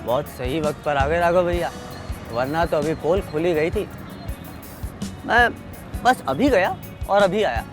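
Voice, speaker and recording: A careless petrol pump attendant in a training film. His voice sits fairly high and moves in lively swings, sheepish and full of casual excuses. Faint music accompanies him. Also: a little slow, long pauses. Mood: apologetic, eager. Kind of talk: training film dialogue acting.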